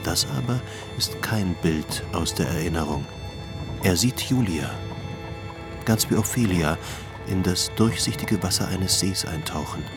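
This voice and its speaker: A man's voice: deep voice, lively intonation, measured pace, music in the background. A man reading scripted literary narration for a radio drama.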